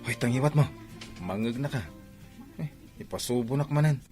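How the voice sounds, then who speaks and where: A man's voice: medium pitch, normal speed, warm tone, natural voice. A man acting as a hesitant young driver in a radio drama. His voice sits mid-range, modest and unsure, with an Ilocano Filipino accent and faint music underneath. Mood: wary.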